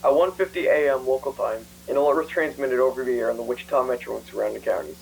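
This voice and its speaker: A man voicing a calm official who reads an emergency broadcast message in a formal, matter-of-fact way. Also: medium pitch, fast talker, creaky, a little hiss or hum, natural voice, rough recording. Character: calm official reading police alert message. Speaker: emergency alert announcer.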